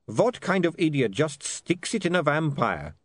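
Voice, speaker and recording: A man's voice: on the high side, big pitch swings, normal speed, no room sound, pitched above the performer's own voice. A man voicing a cheerful, unflappable male vampire who talks in a breezy, teasing way, in a dry, close recording. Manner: breezy, teasing, lightly sardonic.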